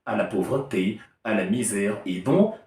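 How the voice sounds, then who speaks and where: A man's voice: lowish voice, expressive, normal speed, a little mellow. A man giving a heated political opinion monologue, emphatic and argumentative with an ironic edge. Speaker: political commentator. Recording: echoey room, distant mic.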